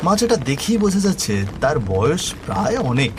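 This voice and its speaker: A man narrating a scripted horror story in an expressive, dramatic way. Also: high-pitched, a little fast, noisy background. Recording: music bed behind voice.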